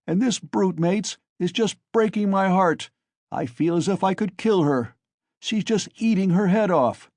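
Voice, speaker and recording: A man's voice: high-pitched, unhurried, a little mellow, no room sound, clean studio sound, voice pushed up. A man voicing a cruel, drunken young male peasant who bellows and rages. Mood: drunken bellowing. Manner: bellowing, raging.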